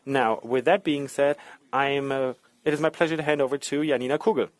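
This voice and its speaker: A man delivering a formal, scripted business presentation in a measured way, as a corporate executive reporting results. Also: mid-range voice, fairly even intonation, dry recording.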